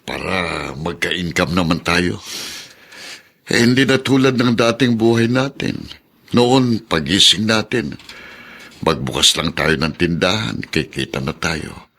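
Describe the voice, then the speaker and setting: A man playing a weary older husband with a deep voice. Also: expressive, measured pace, creaky, bright, forceful tone, full character voice. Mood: resigned. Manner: resigned, plain-spoken. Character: older husband, weary tricycle driver, resigned.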